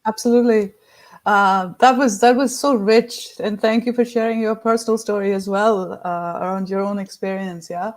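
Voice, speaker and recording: Woman, podcast host, mid pitch, affirming, lots of "uh" and "um". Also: fairly even intonation, moderate pace. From India.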